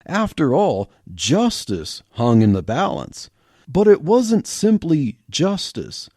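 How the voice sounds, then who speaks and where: A male podcast host reads a story aloud with animated, lively pitch. His voice is fairly high but mellow in tone, recorded dry and close. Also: slow, no echo. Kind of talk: audiobook-style podcast story reading.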